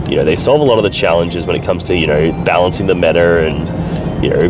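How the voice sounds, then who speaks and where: A deep-voiced Australian man talks into his phone from the car, his voice nasal from a cold. He is chatty and upbeat and swears freely. Music plays behind him. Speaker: vlogger. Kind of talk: casual video diary. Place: car, driving home at night.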